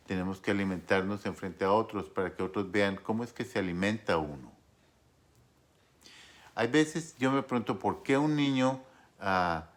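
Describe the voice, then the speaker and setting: A man with a very low voice gives a sermon to his congregation. He talks at an unhurried pace, pausing on fillers and restarting phrases, and often checks in with his listeners. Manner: warm pastoral tone, asks "¿no?" often. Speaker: preacher.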